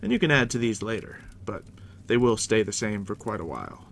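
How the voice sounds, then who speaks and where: Man, ASMR game master, soft deep whisper, heavy vocal fry, unhurried, reassuring, close mic. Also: very animated, a little hiss or hum. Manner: unhurried, reassuring, talking directly to the listener. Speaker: game master guiding a new player.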